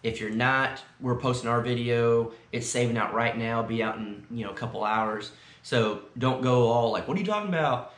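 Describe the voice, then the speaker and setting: Güney Teksaslı, sohbet eden adam, yankılı oda. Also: male voice, mid-range voice, moderate pace, a bit bright. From South Texas. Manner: rambling, chatty, many fillers. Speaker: vlog co-host. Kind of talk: casual chat. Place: friend's house, indoors. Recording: roomy echo.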